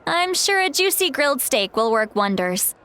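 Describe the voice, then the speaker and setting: A wry ancient sorceress in a young voice. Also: female voice, sits high, big pitch swings, fairly bright tone. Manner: unhurried, teasing, self-mocking. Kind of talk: video game voice acting. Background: game music.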